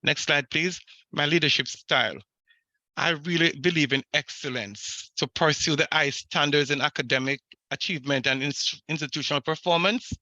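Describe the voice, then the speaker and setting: A middle-aged man from Jamaica introducing himself as a superintendent candidate in a steady, formal way, reading through slides on a video call. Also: a little high, moderate pace, no echo. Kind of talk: prepared self-introduction.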